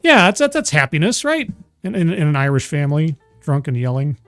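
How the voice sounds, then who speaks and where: A man's voice: a little high, big pitch swings, a little fast, no echo, studio quality, soft background music. A man hosting a casual chat show, who stammers and restarts often while arguing his point. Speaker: host.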